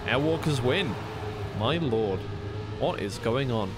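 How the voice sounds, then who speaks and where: A man's voice: mid-range voice, expressive, slow pace, noisy background. A man giving live play-by-play commentary as an esports caster on a racing game match.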